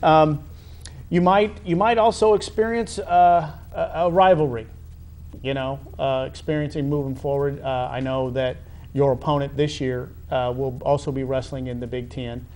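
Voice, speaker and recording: American guy doing a chatty sports interview, mid pitch, wordy and halting, bit of room noise. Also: fairly even intonation, darkish tone. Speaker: interviewer, high school athletic director.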